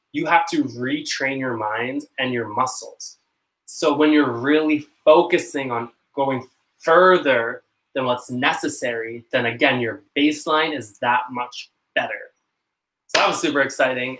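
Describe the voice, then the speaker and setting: Bright-voiced North American young man. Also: medium pitch, poor audio. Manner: rambling, self-conscious, quick laughs. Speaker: channel host. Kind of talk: casual live-stream chat to camera.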